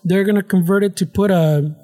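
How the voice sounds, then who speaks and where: Man, podcast co-host, high pitch, rapid, profane, Spanish slang. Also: fairly even intonation, dark tone. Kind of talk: casual chat.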